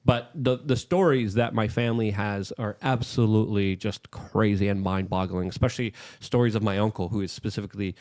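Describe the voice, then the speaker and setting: A man's voice: lowish voice, fairly even intonation, a little fast, hardly any pauses, darkish tone. A man from Poland hosting a livestream interview, asking long, winding questions. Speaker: host.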